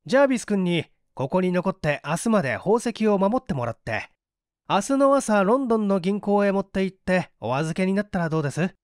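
Man playing a firm, high-toned authoritative detective. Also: very animated, fairly quick, dry recording, clean studio sound. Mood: decisive. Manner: firm, emphatic, didactic. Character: authoritative male detective doctor, firm and composed.

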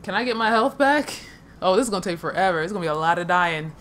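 A woman's voice: lowish voice, expressive, fast talker, a bit bright, a little hiss or hum. A woman casually commenting on her own gameplay, chatty and laughing, swearing, and talking to herself. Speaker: let's play gamer.

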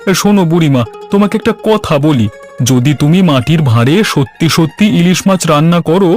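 A man's voice: a little high, lively intonation, fairly quick, darkish tone, soft background music, close to an everyday voice. A man voicing a friendly, inquisitive villager in a cartoon, folksy and coaxing. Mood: curious, eager. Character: inquisitive, friendly male villager eager for food. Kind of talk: Bengali cartoon voice acting.

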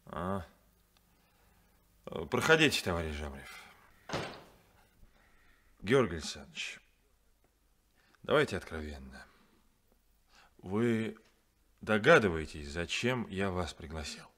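An actor playing a stern, demanding ship captain in a 1970s radio play, firm and reproachful. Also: male voice, low voice, sing-song, takes it slowly, long pauses, a bit bright, exaggerated voice. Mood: brisk.

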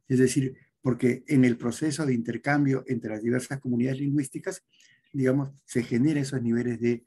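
A middle-aged man, giving an academic talk over a video call, pausing often with fillers and tag questions. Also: medium pitch, vocal fry, dark tone, slightly rough. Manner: lecturing, with frequent hesitations and tag questions. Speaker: conference speaker. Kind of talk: academic presentation. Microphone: webcam on a video call.

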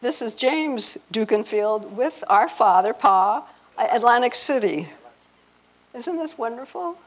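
An older woman presenting an informal family-history slide talk, halting and often restarting her phrases. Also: on the high side, lively intonation. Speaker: presenter, speaker at a convention. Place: hotel conference room, before a seated audience.

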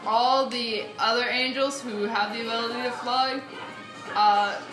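Woman, casual gamer commentary, unhurried pace, game music behind her. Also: a little high, fairly even intonation, reverberant, low-quality recording. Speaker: let's play gamer. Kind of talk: casual chat while playing a video game. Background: video game music.

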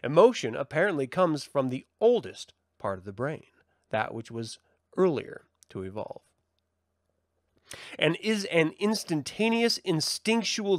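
Man giving an expressive, emphatic essay reading. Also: on the high side, no echo, clean studio sound. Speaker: host reading essays aloud to a live audience.

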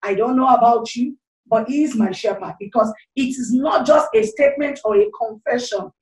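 A woman's voice: a little high, moderate pace, darkish tone, echoey room, distant mic, rough recording. A middle-aged Nigerian woman evangelist preaching into a handheld mic, fervent and repetitive, with frequent exclamations. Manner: gestures freely while speaking into a handheld mic. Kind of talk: online sermon. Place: small indoor room, seated. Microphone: handheld corded microphone.

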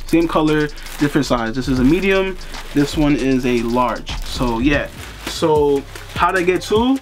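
A young man in a casual unboxing vlog, talking in a relaxed, chatty way with lots of fillers, seated in a small room in front of the camera. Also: fairly high pitch, unhurried. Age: young adult. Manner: laid-back, chatty, lots of "you know" fillers. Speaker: sneaker and streetwear vlogger. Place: bedroom with sneaker boxes, indoors.

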